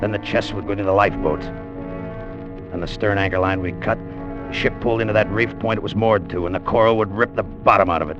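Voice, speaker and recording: A man's voice: on the low side, steady tone, fast, dull audio, lightly acted. Guy playing a scheming gunman sailor, hard-boiled tense confession, old radio drama with music behind. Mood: cold, calculating. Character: Danny, scheming treasure-mad sailor turned gunman, confessing his crime. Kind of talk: old-time radio drama acting with first-person narration. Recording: vintage radio broadcast with music.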